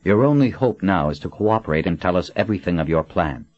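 A man's voice: low-pitched, lively intonation, fast, a little mellow, no echo, dull audio. A man voicing a stern, commanding woman leader who speaks firmly and threateningly. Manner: firm, threatening, controlled.

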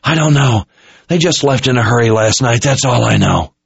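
A captured enemy officer from a fantasy audiobook, acted by a male narrator, speaks well above the narrator's usual pitch. He is a thuggish soldier, dazed but smug and menacing, on a slightly muffled recording. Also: lively intonation, gravelly, breathy edge, no echo, heavily acted. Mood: dazed, frightened. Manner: mumbling, halting. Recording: muffled, dull-sounding recording.

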